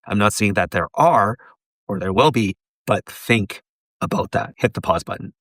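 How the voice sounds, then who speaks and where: A man with a hoarse voice from laryngitis, giving a teacherly tutorial and posing questions for the viewer to pause on. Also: fairly low pitch, lively intonation, fairly bright tone, no room sound, clean studio sound. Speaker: pharmacist and educator. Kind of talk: tutorial lecture.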